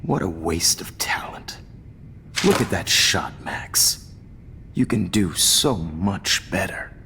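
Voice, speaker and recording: A man's voice: sits low, big pitch swings, slow, gravelly, bright, forceful tone, full character voice. A man voicing a smug, manipulative photography teacher in a video game, taunting and often whispering. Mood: menacing. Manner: taunting, mocking, drops into whispers.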